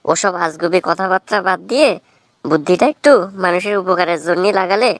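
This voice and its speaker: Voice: mid-range voice, brisk, a bit bright, no echo, close to an everyday voice. An actor voicing a quarrelsome, indignant village husband in a comic cartoon, scolding theatrically in a rural Bangladeshi Bengali accent. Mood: exasperated, preaching. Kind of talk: comic cartoon dialogue, exaggerated bickering.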